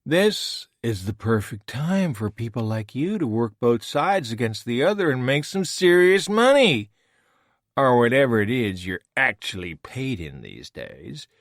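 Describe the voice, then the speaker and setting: A man's voice: medium pitch, sing-song, a little slow, dry recording, clean studio sound, voice pushed up. A man voicing a smug, scheming male villain who taunts and mocks. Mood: mocking. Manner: taunting, mocking.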